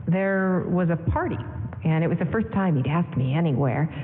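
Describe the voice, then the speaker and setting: An actress playing a gentle, wistful young woman in a halting, confiding 1940s radio drama style. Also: lowish voice, lively intonation, natural voice. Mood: haunted, pained. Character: gentle, wistful young woman who served prison time. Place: live stage in a town hall. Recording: echoey hall, thin lo-fi radio broadcast.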